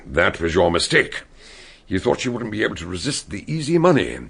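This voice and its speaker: An actor playing a shrewd male detective who calmly lays out how the crime was solved in a radio drama. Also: lowish voice, creaky, breathy edge, full character voice. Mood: explaining. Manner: explaining, measured.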